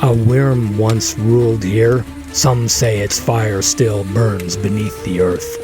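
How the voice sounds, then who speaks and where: A man's voice: fairly low pitch, steady tone, slow, over music. A man voicing a grim, ominous male guide in a fantasy audio drama.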